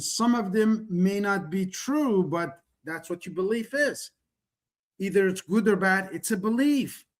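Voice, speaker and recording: A middle-aged man from the Middle East, not a native English speaker, giving an earnest online presentation with rhetorical questions. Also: sits high. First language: Kurdish or Persian. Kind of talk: online presentation, motivational pitch.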